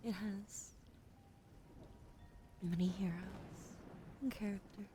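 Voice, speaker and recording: A woman talking low and soft on a live stream, slipping in and out of unvoiced whispers as she shuffles cards and reads them out. Her pitch sits at an ordinary middle height. Clear background noise hums under the whole recording. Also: fairly even intonation, slow. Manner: soft, often whispering, greets chat. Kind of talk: ASMR tarot reading on a live stream.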